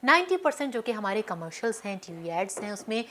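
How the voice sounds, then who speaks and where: A woman's voice: medium pitch, very animated, fast, fairly bright tone, slight background noise. A Pakistani woman hosting a talk show, interviewing her guests in a conversational way.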